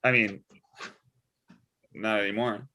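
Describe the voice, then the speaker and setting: A man's voice: lowish voice, fairly even intonation, takes it slowly, bright, forceful tone. A man playing an affable, easily flustered older church deacon and father in a comedy table read. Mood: sheepish, defensive.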